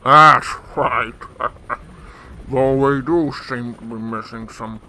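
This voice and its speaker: An actor playing a pompous, indignant big crime boss in a radio drama. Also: male voice, mid-range voice, very slow, bright, forceful tone, heavily acted, rough recording. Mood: pompous, affronted. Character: blustering, arrogant male crime boss, big man.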